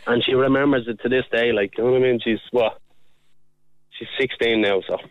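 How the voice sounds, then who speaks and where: A man's voice: medium pitch, fairly even intonation, fairly quick, no echo, narrow, tinny audio. A middle-aged Irish man phoning in to a radio show, talking in an easygoing, slightly defensive way. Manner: easygoing, defensive, repeats "you know what I mean". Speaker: caller.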